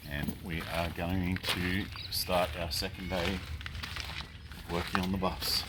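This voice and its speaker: A man's voice: deep voice, flat delivery, takes it slowly, heavy background noise. A man talking casually and plainly in a laid-back vlog style. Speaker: vlogger. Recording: loud music over the voice. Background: radio music.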